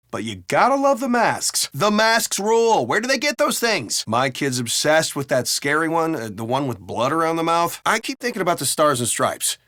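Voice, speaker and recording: A man's voice: on the high side, pushed and bright, no room sound, studio quality. A man playing a brash, griping American city cop in video game banter, talking in a colloquial, wry and profane way. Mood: casual, opinionated. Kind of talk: casual in-game banter dialogue.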